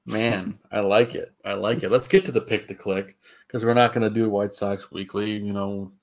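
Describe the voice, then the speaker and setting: A man's voice: lowish voice, fairly even intonation, moderate pace. A man hosting a sports podcast, joking around as he runs the segments, sniffling. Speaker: podcast host. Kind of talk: casual sports chat.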